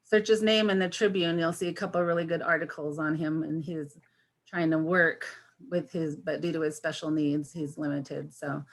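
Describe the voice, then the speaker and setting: Woman candidate, 50, from Washington State, on a video call, a bit low in pitch, halting with lots of fillers. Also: little pitch movement. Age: 50s. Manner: halting, many fillers and restarts. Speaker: election candidate. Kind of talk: candidate forum introduction.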